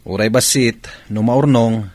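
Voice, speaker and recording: Man as a husky, exasperated husband. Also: medium pitch, steady tone, unhurried, darkish tone, dry recording, natural voice. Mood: exasperated, defensive. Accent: Ilocano. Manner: defensive, complaining. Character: evasive, exasperated adult son and husband. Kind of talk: Ilocano radio drama acting.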